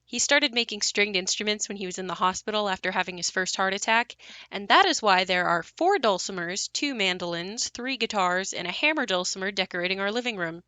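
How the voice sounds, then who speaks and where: Bright-voiced actress as a wry young woman narrator. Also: mid-range voice, fairly quick, no room sound, close to an everyday voice. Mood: calm, wistful. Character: young woman recalling her haunted family home, wry, reflective. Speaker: narrator and lead of an audio play. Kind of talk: first-person dramatic monologue.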